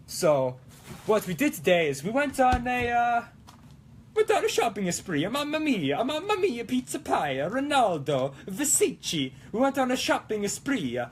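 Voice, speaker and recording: A man doing a high, excitable cartoon Italian guy. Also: normal speed, a little hiss or hum. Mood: excited. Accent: mock Italian. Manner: exclamatory, playful, sing-song broken English. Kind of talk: live-streamed prop-making vlog in a put-on accent.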